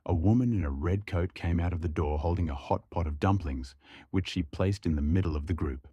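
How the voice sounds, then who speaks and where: A man's voice: sits low, brisk, dark tone, slightly rough, no echo. A man reading a folk tale as scripted storytelling narration.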